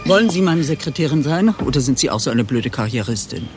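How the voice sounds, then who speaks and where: A woman's voice: a bass voice, animated, quick pace, over music. An actress playing a blunt, impulsive executive woman in a radio play, both commanding and despairing. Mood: brusque, urgent. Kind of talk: German radio play acting.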